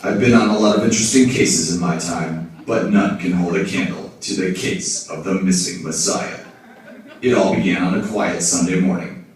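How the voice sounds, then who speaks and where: A hard-boiled private eye puppet, acted by a man, with a very low voice and gruff, wry, slangy patter in a noir parody. He sounds distant, in a heavily echoing room. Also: steady tone, moderate pace, lightly acted. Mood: wry narrating. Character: wisecracking, down-on-his-luck hard-boiled male private detective puppet. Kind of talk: film-noir detective parody in a puppet show. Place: indoor hall, behind a puppet stage. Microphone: far camera in the audience. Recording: echoey room, distant audience camera.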